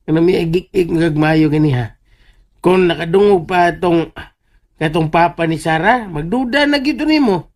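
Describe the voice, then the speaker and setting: A man's voice: fairly high pitch, moderate pace, a little mellow, close to an everyday voice. A man playing a bossy, mischievous young sibling in a radio drama, teasing and repeating phrases in taunts. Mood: taunting. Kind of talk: Filipino radio drama acting.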